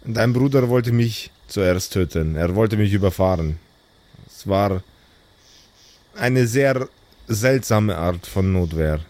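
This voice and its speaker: A man playing a calm, remorseful supervillain in an improvised audio role-play, speaking slowly and solemnly. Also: fairly low pitch. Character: weary, remorseful male supervillain Magma Man, calm and relaxed.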